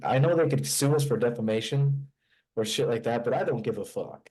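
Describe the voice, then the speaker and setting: A man with a mellow, dark voice at a middle pitch, speaking on a video call from home. He talks bluntly and swears casually. He slips in jokes with a straight delivery. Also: a little fast, breathy edge. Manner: blunt, joking, profane. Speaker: podcast co-host. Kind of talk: casual chat.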